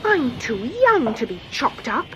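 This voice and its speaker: Young girlish pine tree, storybook character, high-pitched, slow drawn-out words, theatrical, plaintive, music behind. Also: sing-song. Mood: woeful, wailing. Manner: wailing, theatrical delivery. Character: young female pine tree, dramatic, plaintive, easily delighted.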